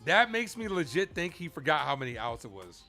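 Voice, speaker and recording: Male livestream sports host, bright forceful voice, excitable play-by-play with chatty asides, faint music underneath. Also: on the high side, a little fast. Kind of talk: live fan play-by-play commentary and reaction.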